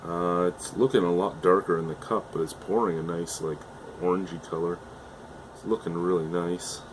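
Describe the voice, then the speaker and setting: A man's voice: sits low, steady tone, moderate pace, a little hiss or hum, poor audio. A young man from Canada reviewing a beer in a casual, chatty way, losing his voice and often restarting his sentences with fillers.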